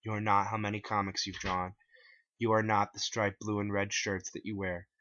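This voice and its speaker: A man's voice: fairly low pitch, level tone, moderate pace, bright, forceful tone, muffled recording, a little hiss or hum. A man reading his own story aloud in a dramatic first-person narration, on a plain home recording.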